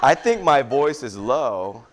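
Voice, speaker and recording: A man's voice: medium pitch, very animated, slow. A male pastor leading a casual prayer-request time before a Sunday service, chatting with his congregation. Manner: chatty, warm asides with repeated "good, good".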